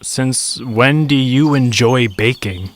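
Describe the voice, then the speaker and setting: A man playing an excitable, petulant young magical creature in a comic stage-style audio drama. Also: medium pitch, slow pace, close to an everyday voice. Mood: petulant, anxious. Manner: excitable, complaining.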